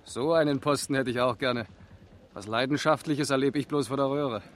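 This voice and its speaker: A man's voice: mid-range voice, fairly even intonation, normal speed, slight background noise, close to an everyday voice. A man acting a stern, dryly sarcastic police interrogator in a 1970s East German radio crime drama, clipped and authoritative. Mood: dry small talk. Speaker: actor.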